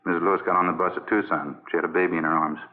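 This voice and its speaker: An actor playing a brisk, plainspoken male detective partner with a clipped, matter-of-fact manner in a 1950s radio police drama. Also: low voice, flat delivery, noisy background, natural voice. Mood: brisk reporting. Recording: muffled, narrow old radio broadcast.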